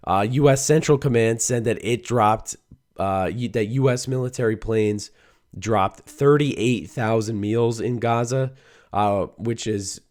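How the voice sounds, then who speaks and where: A man hosting a news show, talking through the day's stories in a conversational way with a hoarse, worn-out voice. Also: on the low side, darkish tone. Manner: conversational, reads quotes aloud, some restarts. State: hoarse, voice a little shot. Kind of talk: solo news commentary.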